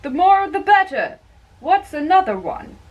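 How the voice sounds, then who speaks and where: Woman acting out play lines at home style, really slow, pitch swings a lot. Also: big pitch swings, highs cut off. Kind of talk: amateur dramatic play reading.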